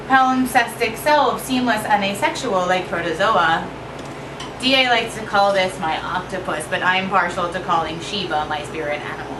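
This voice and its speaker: Woman reading poems expressively, far off. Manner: expressive, shifting pitch and pace for effect. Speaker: poet. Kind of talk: live poetry reading. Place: evening reading event, Brooklyn. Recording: roomy echo from far off with noise.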